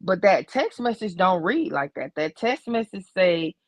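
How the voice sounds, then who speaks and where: A woman's voice: fairly low pitch, lively intonation, measured pace, no room sound. An American woman talking emphatically in a casual panel chat, repeating her points to drive them home. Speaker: panelist on a commentary livestream. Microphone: video-call livestream.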